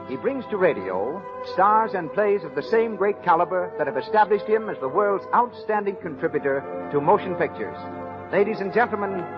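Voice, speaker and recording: A man with a high, swooping American announcer's voice presents a 1930s radio show. His delivery is formal and polished, in the showman's style. The old recording is dull, with music playing clearly behind him.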